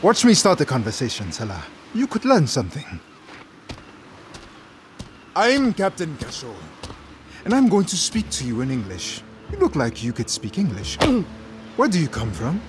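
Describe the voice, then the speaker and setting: Taunting, menacing pirate captain. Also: male voice, on the high side, wildly swooping pitch, faint music behind. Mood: mocking. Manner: taunting, theatrical, deliberate. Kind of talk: audio drama acting.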